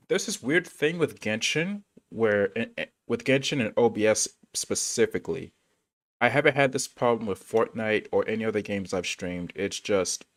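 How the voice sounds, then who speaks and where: A man live streaming a game, chatting casually in a rambling, slangy and hesitant way. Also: fairly low pitch. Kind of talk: casual gaming livestream chat.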